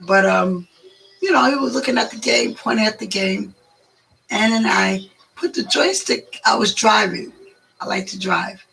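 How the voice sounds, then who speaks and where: A hoarse woman chats as a guest, rambling and drawing out her stories. Her voice is gravelly, with a sharp, bright edge on top. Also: medium pitch, slight background noise, poor audio. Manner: chatty, rambling, drawn-out. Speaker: guest, host's mother. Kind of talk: casual storytelling chat.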